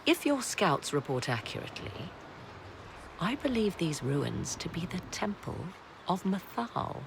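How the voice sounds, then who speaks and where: A haughty, learned sorceress in a fantasy game, her voice swooping through arch, deliberate, old-fashioned phrases. Clear ambient noise sits behind her. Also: on the low side, sing-song, heavily acted. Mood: grave. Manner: archaic formal diction, arch and deliberate. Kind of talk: dramatic fantasy game acting.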